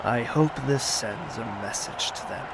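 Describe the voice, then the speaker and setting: A man playing a cold, vengeful lord in an audio drama, narrating in a measured, solemn manner. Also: mid-range voice, very noisy, close to an everyday voice. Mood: cold, resolute. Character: cold, vengeful lord commanding mercenaries, grieving his sister.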